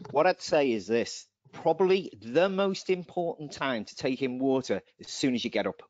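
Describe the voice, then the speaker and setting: A man's voice: medium pitch, animated, normal speed, a little mellow, no echo. Man, chatty and rambling, voice slightly going, muffled dry call audio. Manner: chatty, rambling, laughs at own jokes. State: slightly losing his voice. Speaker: nutrition presenter. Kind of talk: webinar Q&A answers.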